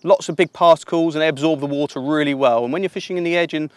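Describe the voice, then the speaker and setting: A man's voice: a little high, fast talker, clean tone, dry recording. Man explaining fishing tips. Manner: relaxed explanatory, practical tips. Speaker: angling coach. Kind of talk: instructional voice-over and commentary. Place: outdoors at a lake.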